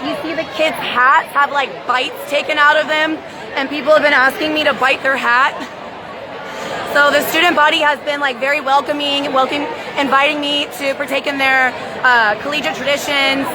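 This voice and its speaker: Chatty high-voiced young woman outdoors. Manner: chatty, with frequent "like". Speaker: protester. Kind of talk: casual chat. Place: outdoors on a busy university campus. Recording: noisy crowd outdoors. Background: loud student crowd.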